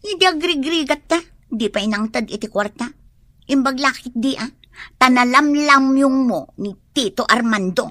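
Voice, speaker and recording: A spoiled, resentful daughter in an Ilocano radio drama. Her high voice swings widely in pitch, with an Ilocano accent, and is recorded very dry. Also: animated, normal speed, fairly bright tone, no echo. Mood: resentful, nagging.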